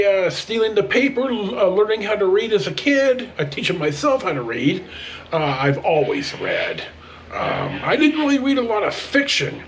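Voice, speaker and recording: An American man telling casual personal stories to camera as a vlog host, in an animated, halting, rambling way. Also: sits high, slight background noise. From northern California, United States.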